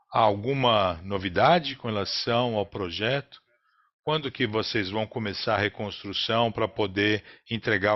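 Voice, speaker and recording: A man with a Brazilian accent interprets a business earnings call live, in a halting, phrase-by-phrase delivery. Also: on the low side. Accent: Brazilian Portuguese. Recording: dull, lo-fi conference call audio.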